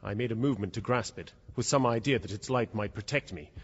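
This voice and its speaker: A man's voice: on the low side, fast talker, husky. An actor playing a formal, intense man in mortal fear, telling his story in a radio drama, on a dull, noisy recording. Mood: hushed dread. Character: terrified male narrator trapped with a predatory cat. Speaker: narrator and lead character.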